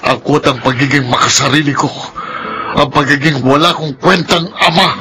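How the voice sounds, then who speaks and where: A man's voice: a little high, fairly even intonation, loud music behind the voice, lightly acted. Man acting a guilt-ridden, remorseful father. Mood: self-loathing. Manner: heavy, remorseful, halting delivery. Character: guilt-ridden, self-loathing father mourning his child. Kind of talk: melodramatic radio drama acting.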